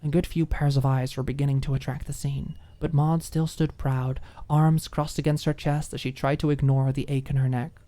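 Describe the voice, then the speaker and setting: A woman's voice: a bass voice, little pitch movement, moderate pace, hardly any pauses, dark tone. A woman reading scripted story narration as an audiobook narrator.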